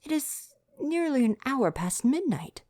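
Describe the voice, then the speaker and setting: A man's voice: very high voice, very animated, a little slow, warm tone, no echo, clean studio sound, heavily acted. A man playing a prim, devoted royal butler with formal, fretful diction in an ASMR roleplay. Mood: scolding, prim.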